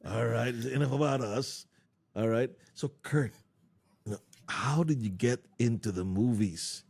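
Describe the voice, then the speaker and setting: A man's voice: mid-range voice, a little slow, creaky, a little mellow, breathy edge. A man hosting a podcast in casual interview chat. He jokes, laughs often, and talks with many fillers and restarts. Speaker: podcast host.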